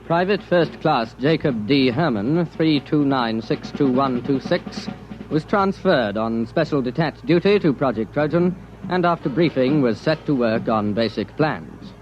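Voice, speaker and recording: An actor playing a matter-of-fact narrator reading an official report in 1950s radio drama style. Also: male voice, medium pitch, lively intonation, unhurried, natural voice. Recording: muffled old radio recording over loud music.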